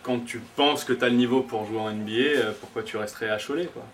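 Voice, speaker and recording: A man's voice: mid-range voice, fairly even intonation, fast talker, a bit bright. A French man in his twenties, a DJ guest chatting casually and joking, with lots of hesitant fillers. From France. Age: 20s. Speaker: DJ, interview guest. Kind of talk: casual interview chat. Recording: distant mic, noisy background.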